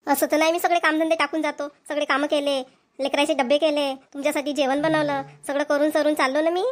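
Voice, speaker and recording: A headstrong, talkative village mother from a cartoon comedy, acted by a woman. Her voice is very high, and she argues back in colloquial Varhadi Marathi. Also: flat delivery, quick pace, lightly acted. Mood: defiant. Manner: argumentative, colloquial.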